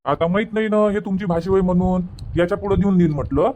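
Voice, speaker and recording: कार्टूनमधल्या बोलक्या, तेच तेच सांगणाऱ्या गावाकडच्या कुल्फीवाल्याला वैदर्भीय मराठीत आवाज देणारा पुरुष. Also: male voice, high voice, fast, darkish tone, slight background noise, close to an everyday voice. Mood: gossipy. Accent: Vidarbha Marathi. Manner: gossipy, repetitive. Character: chatty male village kulfi street vendor. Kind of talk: cartoon comedy dubbing.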